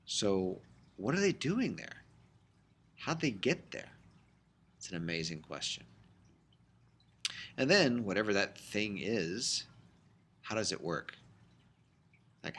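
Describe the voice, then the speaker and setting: A middle-aged man teaching a high school biology class in a recorded lecture, explaining in a conversational way with frequent fillers. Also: sharp tone, a little hiss or hum.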